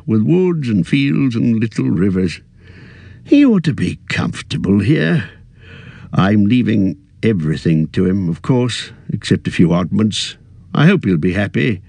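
Fussy old hobbit voiced by a male narrator, high and quavering, husky edge, dry close sound. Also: animated, a little slow, darkish tone, no echo, full character voice. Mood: fond. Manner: high quavering, petulant and defensive. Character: fussy elderly male hobbit, turning possessive.

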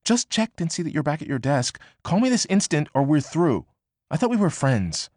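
A man voicing a brash, excitable young woman who talks fast, swears freely and pushes hard. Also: fairly high pitch, no echo, studio quality, pitched above the performer's own voice. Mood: agitated. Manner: quick, emphatic, swearing freely. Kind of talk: audiobook character voice.

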